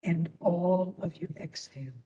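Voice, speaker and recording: A woman instructor leading a guided meditation in a soothing manner, with long pauses between short phrases. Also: lowish voice, dark tone, far from the mic, highs cut off.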